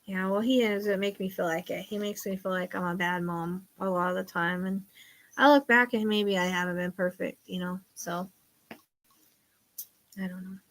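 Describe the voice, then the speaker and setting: Middle-aged woman, psychic show host, loud voice, rambling confessional chat. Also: medium pitch, steady tone, normal speed, low-quality recording. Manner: rambling, confiding, trails off quietly. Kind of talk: casual chat.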